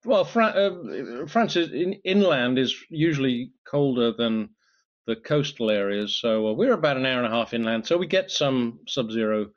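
A man's voice: mid-range voice, animated, normal speed. A man with a British accent, a guest in a podcast interview, speaking thoughtfully with frequent restarts. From United Kingdom. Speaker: guest, solutions architect. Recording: slightly dull lo-fi audio.

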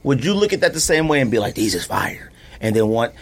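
A man's voice: mid-range voice, very animated, fairly quick, vocal fry. A man hosting a casual podcast chat, talking in an emphatic, opinionated, slangy way. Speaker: podcast host.

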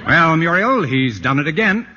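A man's voice: fairly high pitch, sing-song, normal speed, noisy background. An actor playing a sardonic, scolding bachelor friend in his forties in 1940s radio comedy, dry and exasperated. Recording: muffled, reverberant 1940s radio broadcast.

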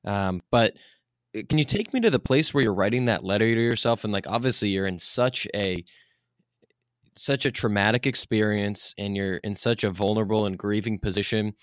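A man with a moderately low voice interviews as a podcast host. His delivery is casual and conversational, halting as he rephrases, and the sound is dry with no room echo.

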